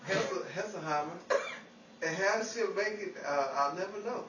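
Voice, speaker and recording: A man playing a brash, fast-talking fellow in an old-time radio style Hollywood play. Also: high-pitched, fairly bright tone. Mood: wry. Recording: roomy, distant, noisy, dull home recording.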